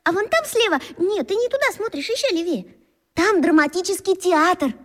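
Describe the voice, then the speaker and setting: A woman's voice: very high-pitched, animated, moderate pace, a bit bright. An actress playing a polite, timid schoolgirl in a children's radio play. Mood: eager, shy. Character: timid, polite schoolgirl hosting her friend at home.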